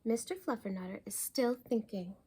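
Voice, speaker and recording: A woman's voice: on the high side, normal speed, mellow, husky. A woman voicing a stern mother cat in a storybook, lecturing in an exasperated, emphatic way. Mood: firm.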